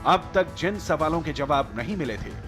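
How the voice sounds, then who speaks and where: A man's voice: on the high side, lively intonation, normal speed. An Indian man reading a scripted, dramatic news report as a voice-over. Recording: music bed behind voice. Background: background music.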